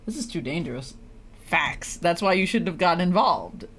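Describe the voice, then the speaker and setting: A woman's voice: on the low side, animated, moderate pace, a bit bright, a little hiss or hum. A woman giving casual, blunt and sarcastic live commentary as she streams a game.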